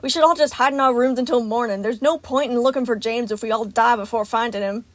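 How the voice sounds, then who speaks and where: A woman voicing an angry, hopeless male animatronic, blunt and yelling, in a story reading. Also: a little high, fast talker, no room sound, close to an everyday voice. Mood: angry despair.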